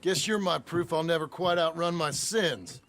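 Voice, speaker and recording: A man acting a gruff, weary former outlaw in a video game cutscene, curt, dry and sardonic. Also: on the high side, moderate pace, a bit bright. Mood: gruff, irritated.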